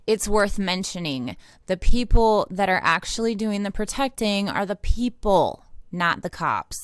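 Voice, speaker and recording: An American woman with a fairly low, clear voice delivers unscripted political commentary. She is blunt and indignant and swears freely. The recording is dry and close, with little room sound. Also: fairly bright tone, no echo. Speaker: independent online political commentator and activist.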